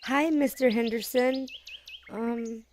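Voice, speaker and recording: Slow-talking, earnest girl played by a woman. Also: a little high, steady tone, darkish tone, slight background noise. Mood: hopeful, concerned. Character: earnest, feisty girl, a loyal young friend. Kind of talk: scripted Minecraft roleplay acting.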